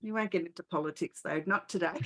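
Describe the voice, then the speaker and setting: A woman's voice: on the low side, quick pace, a bit bright. A woman hosting an interview in a conversational way, phrasing her thoughts tentatively as she works toward the point. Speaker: podcast host, interviewer.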